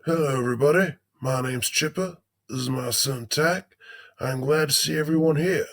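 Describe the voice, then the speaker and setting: A man's voice: fairly high pitch, normal speed. A man playing a folksy older animatronic beaver, a cheerily macabre father putting on a hammy stage show in an audio drama. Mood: cheery showman.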